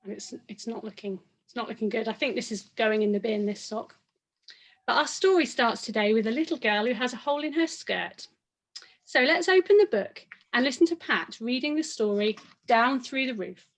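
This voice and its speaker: High-voiced woman presenting to young children. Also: lively intonation, moderate pace. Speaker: children's Bible story presenter. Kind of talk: chatty talk to young children.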